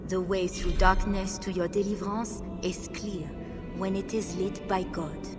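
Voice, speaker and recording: A woman's voice: medium pitch, steady tone, a little slow, natural voice. A woman playing a serene, cryptic religious mystic with a solemn, oracular delivery in a video game. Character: blindfolded female mystic, serene and cryptic, speaking scripture. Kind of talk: video game cutscene acting. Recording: loud music over the voice.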